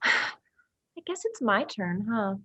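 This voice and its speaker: A woman's voice: medium pitch, sing-song, slow pace, pushed and bright, no room sound, full character voice. An actress playing a sly, teasing, unfazed young woman in a dramatized script reading.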